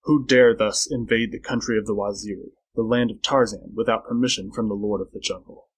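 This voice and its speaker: A man's voice: mid-range voice, brisk, natural voice, poor audio. A man voicing a cold, angry, commanding jungle lord with a level, imperious delivery in a storybook reading. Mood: cold challenge.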